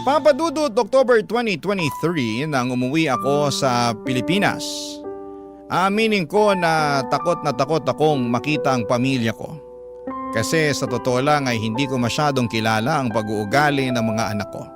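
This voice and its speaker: A man playing a humble, anxious seaman father, narrating his letter in a measured, confiding way with a Filipino accent. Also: medium pitch, lively intonation, close to an everyday voice. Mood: apprehensive. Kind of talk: dramatic first-person letter narration. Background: music.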